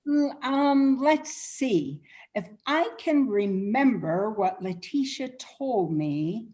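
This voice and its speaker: A woman reading the part of a helpful, informative friend in an amateur radio play, explaining things deliberately. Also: on the high side, expressive, darkish tone. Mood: pondering.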